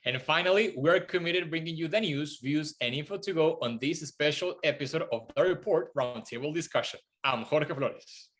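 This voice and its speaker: A man's voice: on the high side, normal speed, fairly bright tone. A young man presents as co-host of a student news show. He reads scripted lines to the audience.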